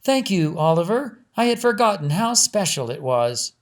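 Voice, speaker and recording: Man voicing a gracious higher-pitched grandmother. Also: very animated, normal speed. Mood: grateful. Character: gracious, gentle elderly grandmother.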